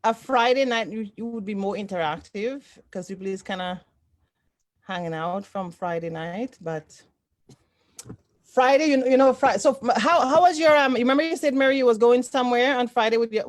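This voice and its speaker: A woman's voice: a little high, fairly quick, dry recording. Woman hosting a dry, close-sounding livestream. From Toronto, Canada. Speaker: host of a sewing group. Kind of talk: casual livestream chat. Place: indoors, on a group video call.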